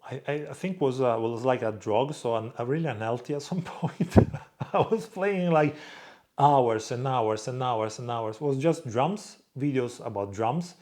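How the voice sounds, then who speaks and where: A young man from Croatia speaks in a relaxed vlog Q&A. He answers fans off the cuff, bluntly, and swears freely. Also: medium pitch, normal speed. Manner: blunt, swears freely, reads viewer questions aloud in a louder voice. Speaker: vlog host answering viewer questions. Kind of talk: casual Q&A monologue.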